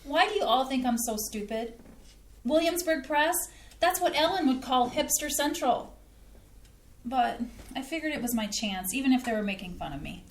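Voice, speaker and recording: A woman's voice: sits high, lively intonation, moderate pace. A woman acting a sincere, homespun mother and home cook in a scripted staged play reading. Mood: hurt. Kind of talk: scripted community theatre acting. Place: indoor playhouse staged reading. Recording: echoey room with background noise.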